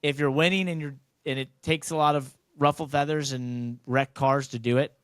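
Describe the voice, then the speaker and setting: A man's voice: mid-range voice, steady tone, normal speed, clean tone, no echo. An American man hosting a casual sports podcast, talking bluntly and emphatically in long, rambling turns. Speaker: podcast host, racing driver.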